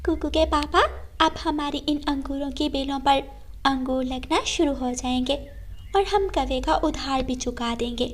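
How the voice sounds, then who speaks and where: A woman voicing a gentle, worried mother sparrow in a cartoon, speaking earnestly and politely. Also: sits high, a little slow.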